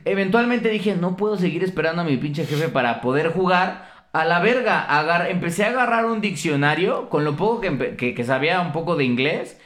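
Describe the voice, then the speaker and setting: Podcast co-host: Mexican man, a touch high-voiced, crude banter, echoey room. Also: expressive, a bit bright. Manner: profane, joking, quick to laugh.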